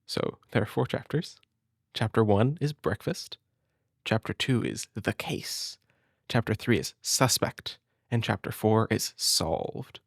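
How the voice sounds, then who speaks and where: Soothing male big-brother type for bedtime-style reading: unhurried, close and dry, tender, slightly hesitant. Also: fairly low pitch, vocal fry, slightly rough, no echo. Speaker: audio roleplay performer as a caring big brother. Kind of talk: intimate comfort roleplay and storybook reading.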